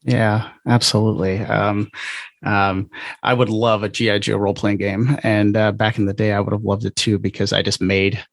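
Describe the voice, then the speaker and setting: A man's voice: lowish voice, level tone, moderate pace, creaky, dry recording. A middle-aged man with an American accent, hosting a casual podcast interview with meandering, hesitant questions. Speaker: podcast host.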